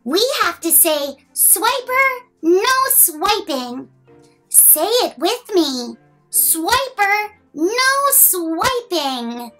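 A woman's voice: very high voice, sing-song, extremely slow, pushed and bright, faint music behind. A woman in her thirties reading a picture book aloud to young children, playful and exaggerated, asking the listeners questions.

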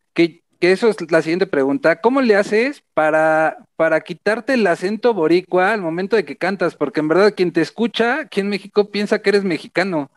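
A man's voice: sits high, dry recording. A man in his thirties hosting an interview, asking questions conversationally through a headset mic on a video call. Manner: conversational, stammers at starts of phrases. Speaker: interviewer host.

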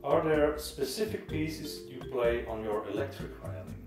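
A man's voice: mid-range voice, lively intonation, normal speed. A man, casually asking questions from behind the camera. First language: Dutch. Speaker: off-camera interviewer. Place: living room, behind the camera. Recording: echoey room, prominent music. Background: music.

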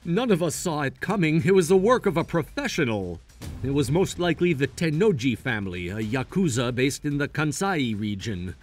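An earnest young gangster voiced by a man narrates an anime story. His voice sits a little high and downbeat, with faint music behind it. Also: big pitch swings, normal speed. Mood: earnest, subdued. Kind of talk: scripted anime explainer dub narration.

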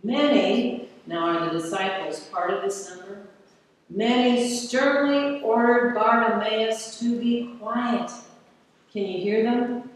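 Woman preacher, middle-aged, unhurried sermon, distant camera mic, booming church reverb. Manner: slow, deliberate, with rhetorical questions. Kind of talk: sermon read from a pulpit. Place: large church sanctuary. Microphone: far camera at the back of the church. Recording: echoey church hall from far off.

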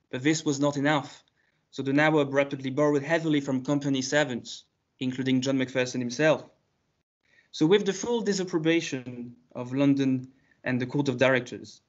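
A man reads a prepared academic paper in a measured, careful way, now and then restarting a word. His voice sits at an average pitch. The video call audio is slightly muffled. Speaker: conference presenter.

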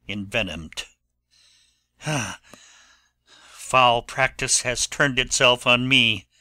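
A man plays a proud, intense young nobleman in a Shakespeare audiobook. The voice is piercingly bright and very slow, recorded dry. The delivery is theatrical. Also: medium pitch, no echo, heavily acted. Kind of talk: dramatic Shakespeare audiobook reading.

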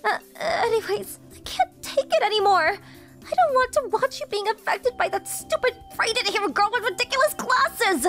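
A lovestruck teenage anime girl, extremely high and very animated in pitch. She is clingy and jealous, delivering everything with dramatic emotion, as in a romantic comedy dub. Music plays behind her. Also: normal speed. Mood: jealous, frantic.

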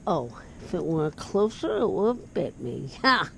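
A woman's voice: fairly low pitch, big pitch swings, measured pace, vocal fry, fairly bright tone. A middle-aged woman talking casually and muttering to herself as she shops, recorded on a body-worn action camera. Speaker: vlogger out shopping. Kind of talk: casual chat and muttering to self while shopping. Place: small store, moving about indoors. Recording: noisy action camera in a store.

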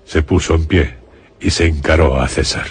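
A man's voice: extremely low pitch, moderate pace, breathy edge. A man narrating a scripted suspense radio play in a solemn storytelling manner. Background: prominent dramatic music.

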